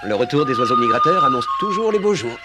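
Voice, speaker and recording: An actor playing a kind, calm father from the mountains in a cartoon dub, speaking gently and reassuringly. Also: medium pitch, fast talker, over loud music, close to an everyday voice. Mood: gentle, reassuring. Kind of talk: French cartoon dubbing. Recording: heavy noise behind dubbed cartoon dialogue.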